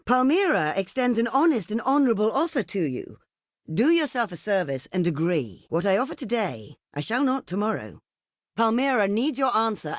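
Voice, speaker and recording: A woman's voice: mid-range voice, no echo, studio quality, natural voice. A woman playing a proud, imperious ancient desert queen for a video game, speaking in a regal, theatrical, declamatory way. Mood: courtly, persuasive. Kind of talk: video game diplomacy voice lines.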